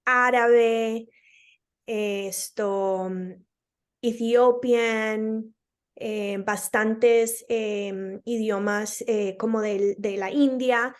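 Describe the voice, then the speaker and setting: A woman's voice: on the high side, fairly even intonation, very slow. A woman from Colombia with a Colombian accent, a speech-language pathologist being interviewed, who explains patiently with examples and many hesitant fillers.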